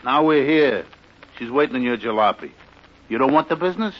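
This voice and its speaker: An actor playing a blunt, slangy New York cab driver with an American accent in a fifties radio drama. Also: male voice, mid-range voice, lively intonation, fairly quick, lightly acted. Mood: pushy, sly. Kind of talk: 1950s radio drama acting. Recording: dull vintage radio recording.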